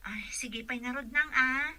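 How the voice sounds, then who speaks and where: A woman's voice: sits high, measured pace, pushed and bright, full character voice. A woman playing a respectful, caring young caregiver in a radio drama. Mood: reassuring.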